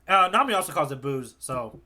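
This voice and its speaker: A man's voice: fairly high pitch, sing-song, brisk, pushed and bright. A man co-hosting a podcast in informal, casual chat, circling around his point with repeated words. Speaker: podcast co-host.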